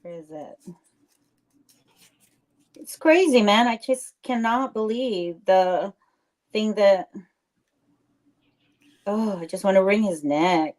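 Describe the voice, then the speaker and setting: A woman's voice: medium pitch, animated, slow, long pauses, darkish tone. A woman chatting casually on a live stream as a co-host, with an informal, outspoken and indignant way of talking.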